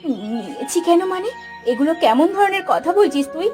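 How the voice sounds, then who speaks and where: A woman's voice: sits high, sing-song, normal speed, warm tone, soft background music. A woman voicing a burdened, caring elder sister in a village cartoon, emotional in a pleading and scolding way. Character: burdened, caring eldest sister running a poor village household. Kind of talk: melodramatic cartoon voice acting.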